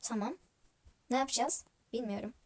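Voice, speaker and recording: High-voiced woman acting out a story. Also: normal speed. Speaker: storyteller voicing all characters in a toy-world game video. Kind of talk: improvised voiced role-play story.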